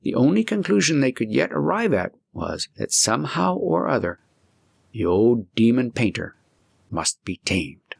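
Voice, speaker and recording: A man reading a storybook aloud as an audiobook narrator, in an expressive, deliberate way. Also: medium pitch, creaky, husky, dry recording, studio quality.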